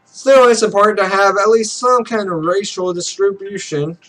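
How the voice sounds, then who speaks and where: A man's voice: high voice, moderate pace, a bit bright, faint music behind, rough recording. A man hosting a gaming video, reading a visual novel aloud in a theatrical way with stumbles and asides.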